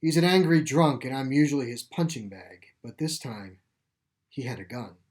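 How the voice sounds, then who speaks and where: A man's voice: mid-range voice, lively intonation, moderate pace, natural voice. A male narrator takes the part of a world-weary teenage boy in a storybook. He is guarded and terse, answering in a matter-of-fact way that keeps strangers at a distance. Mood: bitter, guarded.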